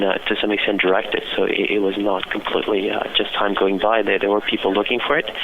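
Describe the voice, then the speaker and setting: A man giving market commentary as an interview guest over the phone, speaking in halting phrases with frequent uhs and repeated words. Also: fairly low pitch, creaky. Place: office, on a phone call. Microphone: telephone. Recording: tinny phone line with background chatter.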